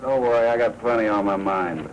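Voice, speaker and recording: Guy playing deep sardonic american gangster boss, needling slangy talk, old noisy 40s movie sound. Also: fairly quick, muffled recording, close to an everyday voice. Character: menacing, sardonic crime boss, jealous husband. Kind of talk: 1940s film noir acting.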